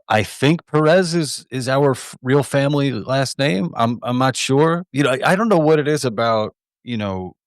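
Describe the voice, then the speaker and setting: A man's voice: mid-range voice, clean tone, dry recording, clean studio sound. A man hosting a podcast, chatting loosely and joking irreverently. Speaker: podcast host. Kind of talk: casual chat.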